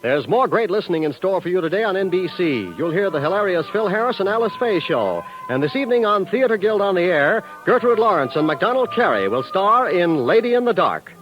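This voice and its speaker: A man's voice: high voice, big pitch swings, fairly quick, few pauses, music in the background. A man delivering scripted 1950s network radio announcements in a polished, promotional manner. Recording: muffled old 1950s radio broadcast recording.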